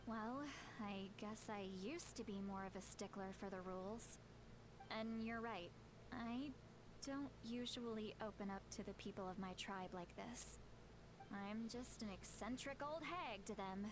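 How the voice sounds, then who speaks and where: An eccentric, powerful elder of a tribe in a video game, played by a woman. She talks frankly and reflectively, proud yet quick to put herself down. Her mid-pitched voice sits over clear ambient background noise. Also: natural voice. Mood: wry, candid.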